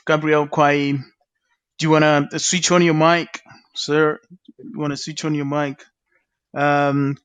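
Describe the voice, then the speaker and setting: Halting male host, dry sound. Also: fairly high pitch, fairly bright tone, smooth, no echo. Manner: halting, many fillers and repeats. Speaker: talk show host. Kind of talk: online panel discussion.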